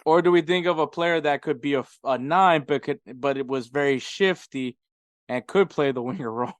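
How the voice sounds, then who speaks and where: A man's voice: a little high, fairly quick, dry recording. A man co-hosting a sports podcast in casual soccer chat, with an informal, jokey way of talking.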